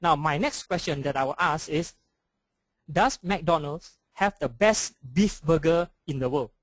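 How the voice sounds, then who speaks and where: A man's voice: on the high side, animated, a little slow, no room sound. Malaysian man presenting, hesitant. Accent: Malaysian English. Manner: frequent hesitations, explanatory. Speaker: conference speaker. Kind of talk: webinar presentation.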